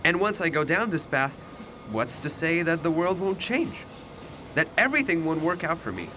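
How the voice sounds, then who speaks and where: A man's voice: a little high, sing-song, normal speed, noisy background. An actor in a radio drama playing an anxious but defiant young male university student, questioning with earnest emotion. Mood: anxious doubt. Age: young adult.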